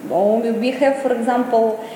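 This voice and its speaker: Brief: middle-aged Russian-accented shop owner, halting English, fairly high voice, echoey with hall reverb. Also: female voice, fairly even intonation, warm tone, slight background noise. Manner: halting non-native English, repeats words, restarts often. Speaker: boutique owner. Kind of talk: street interview. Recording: echoey long reverb, clear background ambience.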